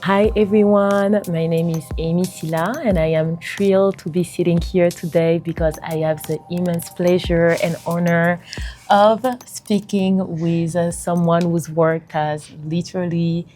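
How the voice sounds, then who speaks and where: A woman with a thick French accent hosts an interview, bubbling with enthusiasm and laughing easily. Her voice sits a little low for a woman. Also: unhurried, soft background music. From France. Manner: effusive, excited, laughs often.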